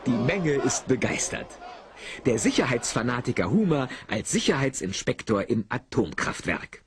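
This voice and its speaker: A man's voice: medium pitch, sing-song, moderate pace, crowd noise, background noise. A man narrating an audio play with dramatic, theatrical storytelling. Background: music.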